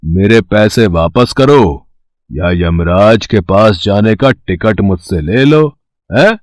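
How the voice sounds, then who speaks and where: A man acts out a gloating male crime boss for a dramatized story. He drawls his threats very slowly and mockingly, with swooping, animated pitch, in a close, dry recording. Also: dry recording. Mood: mocking, menacing. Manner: slow drawling taunts. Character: mocking male gangster villain, gloating and menacing. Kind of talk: dramatized audio story acting.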